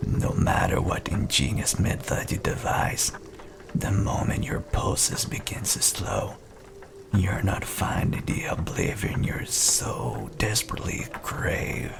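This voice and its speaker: Casting: sinister possessive night entity, acted by a man, slow menacing whisper with heavy gravelly creak, for dark horror audio roleplay. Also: low voice, heavily acted. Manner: slow, menacing, intimately taunting.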